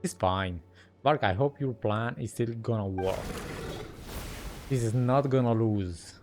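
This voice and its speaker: A man's voice: on the low side, measured pace. A man giving casual commentary while playing through a video game, with game sound behind him. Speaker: gaming streamer. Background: video game music and sound.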